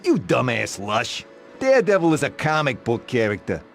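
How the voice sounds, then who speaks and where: A surly video game gangster. His raised voice crackles with fry and swings expressively. Music plays clearly behind the contemptuous delivery. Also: medium pitch, huge pitch swings, normal speed. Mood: scornful. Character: surly, contemptuous male mob thug. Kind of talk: game cutscene acting.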